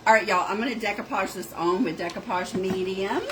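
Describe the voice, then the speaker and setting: A woman's voice: mid-range voice, fairly quick, a bit bright, a little hiss or hum. A middle-aged woman with a North Georgia Southern accent, chatting casually while demonstrating a craft project. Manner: chatty, drawling, says "y'all" and "girl". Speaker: craft shop owner and video host. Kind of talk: casual crafting livestream chat.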